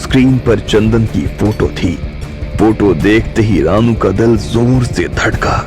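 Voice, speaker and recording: A man narrating an audio drama in calm, measured storytelling. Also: fairly low pitch. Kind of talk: slow scripted audiobook narration. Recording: soft music bed.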